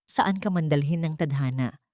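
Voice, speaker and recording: Romantic male lead read by a woman, gentle and reassuring, lowish and dry, for audio story drama. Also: moderate pace, no echo, natural voice. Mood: tender, reassuring. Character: devoted, tender young man in love.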